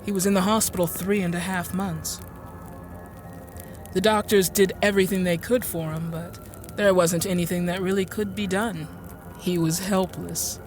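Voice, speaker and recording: An actress playing a composed, sharp-tongued woman inventor in an audio drama, speaking in a measured, arch and deliberate way. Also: on the low side, fairly even intonation, music in the background, close to an everyday voice. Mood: coolly recounting. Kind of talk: audio drama acting and first-person narration.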